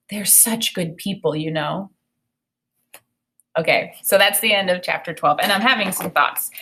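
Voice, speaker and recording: A woman in her thirties with an American accent, teaching through an expressive read-aloud with spoken commentary on the story. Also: lowish voice. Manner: expressive reading with acted dialogue.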